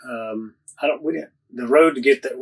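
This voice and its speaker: A man's voice: medium pitch, normal speed, vocal fry, breathy edge, rough recording. A man in his thirties, a travel vlogger, chatting casually about a road trip with fillers and restarts. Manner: relaxed storytelling with fillers and restarts. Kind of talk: casual chat. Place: RV interior, then outdoors at a campground.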